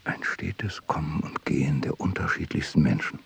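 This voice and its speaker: Husky, gravelly, murmuring surveillance man. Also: extremely low pitch, full character voice. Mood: hushed, watchful. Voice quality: husky, gravelly, half-whispered. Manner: slow, clipped, murmured reporting. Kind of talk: radio drama acting.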